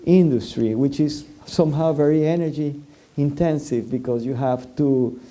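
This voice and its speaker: A man from Venezuela, a native Spanish speaker, talks through a policy point at a public forum. His voice is very dark and mellow. He stumbles often, filling gaps with "uh" and repeating words before he finds the thought. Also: a little high, moderate pace. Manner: hesitant, many fillers and restarts. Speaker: panelist.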